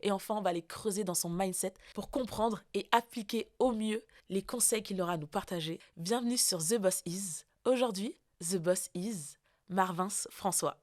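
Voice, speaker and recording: A woman's voice: medium pitch, moderate pace, darkish tone. A woman hosting a casual podcast interview, friendly and hesitant, with many fillers. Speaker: podcast host.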